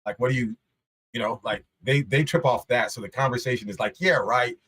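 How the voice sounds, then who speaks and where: A man's voice: mid-range voice, a little fast, fairly bright tone, husky. Laid-back, slangy man. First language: Shona. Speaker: podcast guest. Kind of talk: casual podcast chat.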